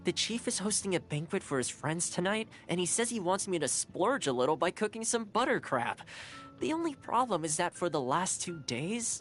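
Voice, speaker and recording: A caring, earnest young housekeeper lad in a video game. The voice is on the low side for a woman and swings up and down with friendly cheer. Faint music sits behind it. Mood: earnest, relaxed. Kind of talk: video game character voice lines.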